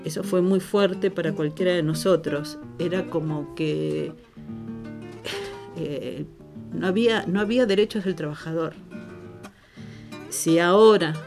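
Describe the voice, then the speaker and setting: An older woman with a Buenos Aires accent, telling her recollections in a conversational interview in an emphatic, colloquial way. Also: on the low side. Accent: Argentine, Buenos Aires. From Buenos Aires, Argentina. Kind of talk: conversational oral-history interview.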